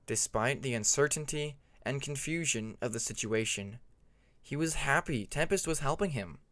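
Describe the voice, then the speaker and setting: A man narrates a story in audiobook style. His voice sits at a middle pitch. The recording is dry, with very little room sound. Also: normal speed, a bit bright, no room sound.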